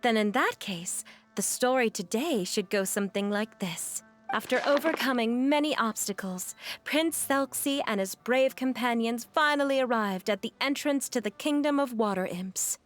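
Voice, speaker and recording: A woman voicing a polite, gentle young female adventurer in a video game, speaking in formal, courteous phrases. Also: a little high, lively intonation, moderate pace, soft background music, close to an everyday voice. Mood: gentle storytelling.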